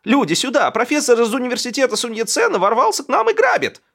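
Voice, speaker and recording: A man's voice: extremely high pitch, sing-song, fast, gravelly, a bit bright, voice pushed up, exaggerated voice. A man voicing an indignant, combative middle-aged woman in an audiobook, screaming and scolding in outrage. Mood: hysterical.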